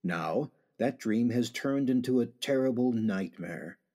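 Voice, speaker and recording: Dramatic male radio announcer, dark husky voice. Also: mid-range voice, expressive, unhurried. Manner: dramatic, ominous. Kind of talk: old-time radio suspense scripted narration.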